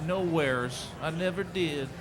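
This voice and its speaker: A man's voice: on the high side, slow pace, a crowd in the background, low-quality recording. A man playing a scrawny, naive drifter in a western radio drama, with a hesitant rustic drawl. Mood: naive, unsure. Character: scrawny, simple-minded, meek male drifter, ex-Union soldier. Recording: live stage with audience noise.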